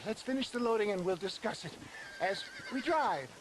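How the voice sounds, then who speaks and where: A man playing a flamboyant older snake-oil salesman in a video game, genially scheming, with grandiloquent, drawn-out phrasing, throat-clearing and chuckles. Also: high-pitched, very animated, background noise. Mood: grandly scheming. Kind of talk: theatrical video game acting.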